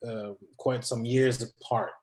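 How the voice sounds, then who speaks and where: A man's voice: mid-range voice, steady tone, takes it slowly, darkish tone, reverberant. A man in his thirties, a non-native English speaker from France, talking as a guest in a hesitant way with many restarts. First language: not English. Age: 30s. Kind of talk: conversational interview.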